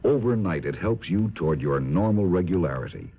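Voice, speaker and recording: A man's voice: deep voice, animated, fairly quick, husky. An American man reading a commercial as a 1950s radio announcer. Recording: muffled vintage radio recording.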